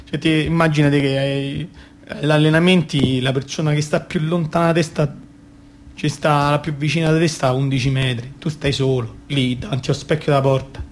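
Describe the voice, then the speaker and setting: An actor playing a boastful, aggrieved goalkeeper in a theatre monologue, with a Roman accent and a rambling, halting, self-justifying manner. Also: male voice, fairly high pitch, level tone, slight background noise, close to an everyday voice. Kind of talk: colloquial comic theatre monologue.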